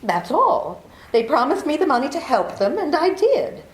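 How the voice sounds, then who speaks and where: A woman's voice: high voice, sing-song, normal speed. A woman playing a beguiling, deceitful young woman in trouble in a 1940s-style radio play, coy and pleading. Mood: evasive. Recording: echoey room.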